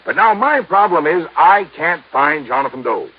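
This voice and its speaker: A very rough, husky voice through dull old radio sound: a folksy American insurance agent. He is talkative and familiar, his pitch moving freely as in 1950s radio drama. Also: big pitch swings, moderate pace. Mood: earnest explaining. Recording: muffled vintage radio recording.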